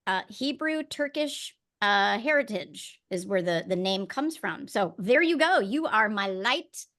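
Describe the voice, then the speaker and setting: A woman's voice: medium pitch, animated, a little slow, fairly bright tone. A woman hosting a casual interview, talking in a chatty, informal and joking way. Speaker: podcast host. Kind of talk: fun casual interview chat.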